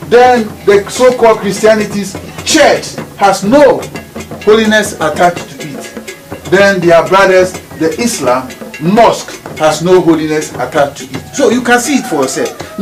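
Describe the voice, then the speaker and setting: A man's voice: sits high, rough recording. A man with a Ghanaian accent giving a spiritual teaching, emphatic and repetitive, driving each point home by restating it. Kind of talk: preaching lecture.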